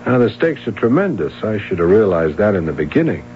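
An actor playing a probing, blunt yet courteous police detective sergeant with an American accent in a radio drama. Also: male voice, fairly low pitch, very animated, brisk. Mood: accusing, sardonic. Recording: dull, hissy, humming vintage radio broadcast.

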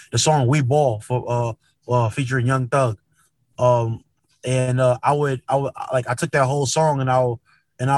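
A man's voice: medium pitch, no room sound. A man talking casually as an interview guest, using lots of slang and tags like "man" and "you know what I'm saying".

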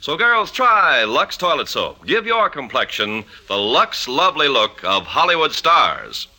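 A man's voice: on the high side, big pitch swings, measured pace, bright, forceful tone. An American man announcing in 1950s radio style, with polished, emphatic, scripted sales patter.

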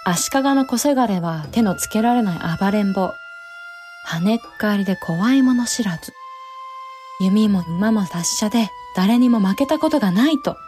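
A woman's voice: mid-range voice, animated, normal speed, mellow, soft background music, lightly acted. A woman playing a spirited, forthright young samurai bride in a Japanese period audio drama, candid yet politely archaic in speech. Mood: amused, teasing.

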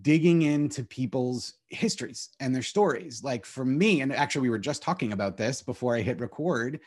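A man's voice: mid-range voice, expressive, a little mellow. A man hosting a podcast interview as head coach, talking casually with lots of fillers. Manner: friendly, filler-heavy, quick.

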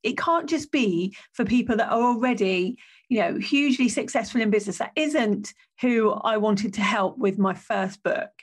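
A woman hosting her own business podcast, with a slightly croaky voice and a chatty, self-correcting way of talking. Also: on the high side, normal speed. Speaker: podcast host.

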